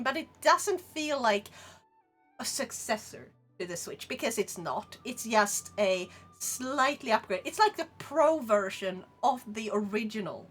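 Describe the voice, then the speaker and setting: A woman with a Swedish accent, streaming and chatting casually with her live chat in a rambling, animated way, laughing often. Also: a little high, husky. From Sweden.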